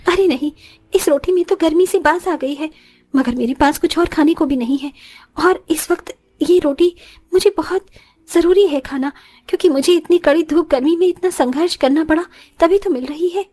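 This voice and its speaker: A woman's voice: sits high, moderate pace, warm tone, lightly acted. A woman playing a humble, hardworking young village wife with an earnest, self-sacrificing manner in a moral story cartoon. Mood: weary. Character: humble, hardworking poor village daughter-in-law, expecting a child.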